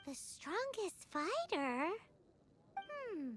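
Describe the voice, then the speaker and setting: Casting: tiny female fairy sidekick for a game, squeaky, extremely high, wildly animated, noisy recording. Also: extremely slow, heavily acted. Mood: pondering. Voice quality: squeaky, childlike. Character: Tiny excitable childlike female fairy companion. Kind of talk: video game character voice acting. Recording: game audio with loud noise.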